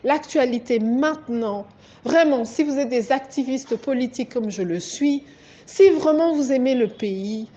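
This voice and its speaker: A woman's voice: high-pitched, unhurried, dark tone. A woman from Congo, giving an emphatic, pleading political monologue as an activist, often asking her listeners "ok?". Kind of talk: political monologue addressed to viewers. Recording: dull lo-fi recording.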